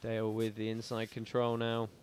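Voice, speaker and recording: A male play-by-play commentator calling a live grappling match in measured phrases. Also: fairly low pitch, completely flat delivery, a little mellow, slight background noise. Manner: measured, building in volume toward the finish.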